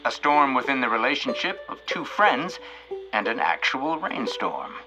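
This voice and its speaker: An actor playing a folksy, wry and playful narrator of a storybook-style audio drama. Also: male voice, mid-range voice, expressive, moderate pace, music in the background, close to an everyday voice, low-quality recording.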